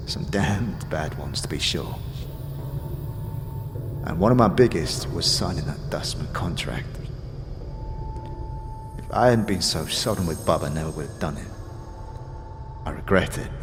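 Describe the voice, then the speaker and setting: A man playing a weary, regretful undead zombie in an audio drama, with a gravelly voice and folksy, slangy speech. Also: rumbling low, expressive, unhurried, pauses often, music in the background. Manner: folksy, slangy old-time street talk. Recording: long hall reverb.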